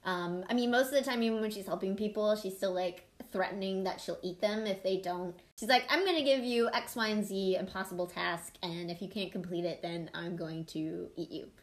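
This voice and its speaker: A woman with a mid-pitched voice co-hosts a podcast. She explains things in loose, conversational language, irreverent and unafraid to swear. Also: brisk, few pauses. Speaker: podcast host. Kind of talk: casual conversational storytelling and explaining.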